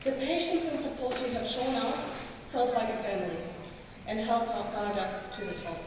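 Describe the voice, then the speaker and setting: A young woman with a non-native English accent gives a formal, carefully delivered prepared speech as a student representative at a ceremony. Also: medium pitch. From outside Australia. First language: not English. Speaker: student speaker at a graduation. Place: large graduation hall. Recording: echoey hall, distant mic, noisy.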